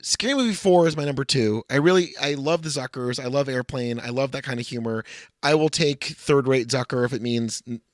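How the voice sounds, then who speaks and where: A man's voice: mid-range voice, a little fast, no room sound, clean studio sound. A man co-hosting a podcast, talking casually as he reviews and ranks films. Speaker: podcast co-host. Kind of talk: casual film review chat.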